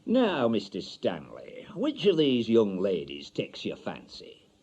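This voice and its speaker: A man's voice: on the low side, huge pitch swings, a little mellow, breathy edge, heavily acted. An actor voicing a pompous, long-winded, officious marriage bureau salesman in a radio story. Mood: oily, courteous. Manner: slow, emphatic, officious.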